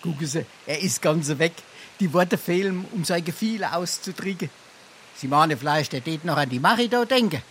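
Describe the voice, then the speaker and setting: Pompous Hessian rogue, high-pitched voice. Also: male voice, lively intonation, moderate pace, a bit bright, a little hiss or hum. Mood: wheedling. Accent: Darmstadt Hessian dialect. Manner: theatrical, grandiloquent, blustering. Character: brazen, pompous, scrounging rogue of 1840s Darmstadt. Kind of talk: dialect stage comedy acting.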